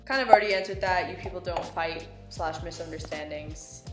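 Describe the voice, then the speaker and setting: A young adult woman chatting casually as a travel vlogger, soft-spoken and full of "like". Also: on the low side, fairly quick, roomy sound, over loud music. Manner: soft, chatty, says "like" a lot. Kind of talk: casual chat.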